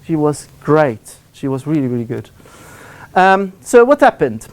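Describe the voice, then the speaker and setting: A man from Italy, speaking English with an Italian accent, giving a seminar talk as a university lecturer; he talks fast with many fillers and restarts. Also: fairly high pitch, very animated.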